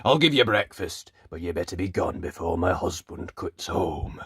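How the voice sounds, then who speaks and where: A storybook giantess, as a storyteller plays her, blunt and full of warning. She is brisk yet helpful, heard in a dry recording. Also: low-pitched, expressive, a bit bright, husky, no echo, pitched below the performer's own voice, exaggerated voice.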